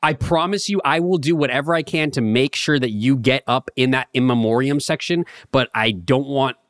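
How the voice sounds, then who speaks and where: A man's voice: mid-range voice, normal speed, fairly bright tone, no echo, studio quality. A young man co-hosting a podcast, talking earnestly and rambling with stammered restarts. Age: young adult. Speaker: podcast co-host. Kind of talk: casual podcast banter.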